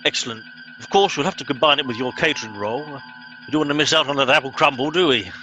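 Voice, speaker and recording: An actor playing a pompous, blustering middle-aged English starship captain in a comic radio play, giving clipped orders. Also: male voice, mid-range voice, fast, sharp tone, soft background music, close to an everyday voice. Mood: self-satisfied. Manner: blustering, officious, clipped commands.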